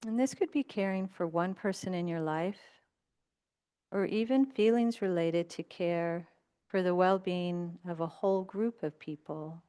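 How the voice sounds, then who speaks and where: A woman leading a guided meditation as a teacher, speaking in gentle, unhurried instructions with long pauses. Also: lowish voice, smooth.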